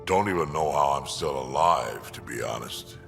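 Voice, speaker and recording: An actor playing an ancient, blunt alien mercenary and grandfather with a gravelly voice in a video game. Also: very deep voice, expressive, moderate pace, a bit bright, long reverb tail, faint music behind, full character voice. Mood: gruff, wry. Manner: blunt, coarse. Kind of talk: video game character dialogue.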